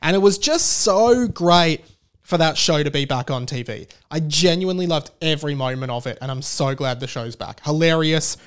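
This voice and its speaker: A man's voice: on the high side, expressive, moderate pace, smooth. A man with an Australian accent hosting a podcast solo, talking casually in an enthusiastic, rambling way. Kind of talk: casual chatty monologue.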